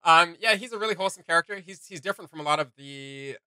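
A man's voice: fairly high pitch, fast talker, pushed and bright, clean tone, no room sound. A man, giving rambling, jokey commentary as host of a casual reaction video. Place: small home room with shelves, indoors.

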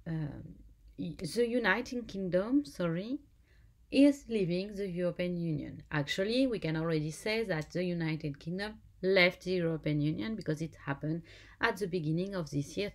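A French woman with a French accent, explaining a topic to exam students as a legal English teacher, often pausing and restarting her sentences. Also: on the low side, darkish tone. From France. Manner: halting, self-correcting, with frequent restarts. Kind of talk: lecture-style explainer over slides. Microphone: voice-over.

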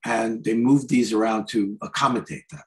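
An older man, an artist, talking informally and haltingly through slides of paintings on a video call. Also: on the low side, rough recording. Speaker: artist presenting his paintings. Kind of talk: informal slide commentary on art.

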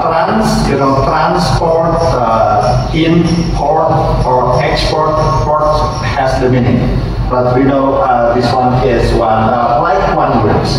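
A young man with an Indonesian accent speaks slowly and haltingly, filling gaps with "uh" as he walks through example words. He sounds far from the mic in a boomy, reverberant studio room. A steady background noise runs under his voice. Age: young adult. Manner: halting, many fillers, explains with examples. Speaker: talk show host explaining morphology. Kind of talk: student lecture in talk show format. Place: indoor studio set with sofas and a screen. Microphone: far camera mic.

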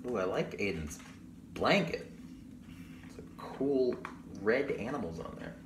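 A man's voice: lowish voice, lively intonation, a little slow. A young man reading a picture book to children, expressively, with chatty asides to his young listeners. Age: young adult. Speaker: children's storybook reader. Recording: roomy echo, audible background noise.

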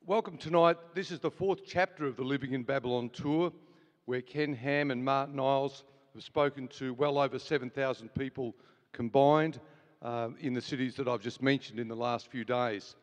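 A man's voice: mid-range voice, steady tone, normal speed. An earnest man welcomes a large audience and leads them in prayer, stumbling now and then over restarts and fillers. His mid-range voice rings through a large hall with a long, lingering reverb. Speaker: event host. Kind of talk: welcome and opening prayer at a church event.

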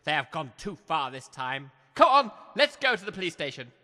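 A man's voice: a little high, very animated, moderate pace, sharp tone, full character voice. A man playing a pompous, scheming village major with a clipped, theatrical delivery in a comedy audio drama. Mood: indignant alarm.

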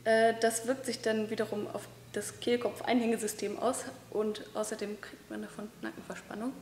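A young woman, a voice training student, explaining and demonstrating breathing and voice exercises in a large bright hall, pausing now and then with fillers. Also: a little high, flat delivery, a little hiss or hum. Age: young adult. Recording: echoey hall.

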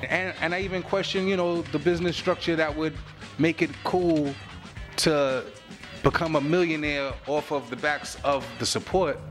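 A man's voice: on the high side, fairly even intonation, moderate pace, faint music behind. A middle-aged man, a rapper, talking earnestly and reflectively in a casual interview, with a lot of "you know what I'm saying". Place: lounge room on a leather couch. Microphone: handheld mic held by interviewer.

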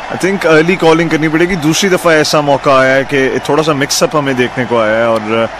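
Fast-talking pakistani expert summariser. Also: male voice, fairly high pitch, noisy background. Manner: quick, conversational analysis. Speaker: expert cricket co-commentator. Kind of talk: analytical commentary mixing Urdu and English.

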